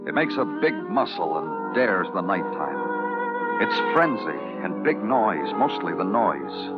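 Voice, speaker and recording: Low-voiced, world-weary hard-boiled detective. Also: male voice, full character voice. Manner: brooding, poetic, clipped. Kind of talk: 1950s radio noir acting and narration. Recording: muffled vintage radio recording.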